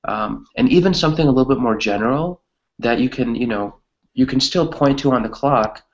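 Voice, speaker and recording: A man's voice: mid-range voice, fairly quick, dull audio. A man teaching an English grammar lesson conversationally, encouraging his students and often checking in with "right?".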